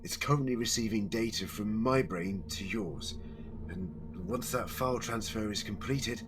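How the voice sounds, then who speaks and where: A man playing an anguished, earnest, protective time-traveller hero in an audio drama, halting when distressed. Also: on the low side, background noise, lightly acted. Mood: grave explaining.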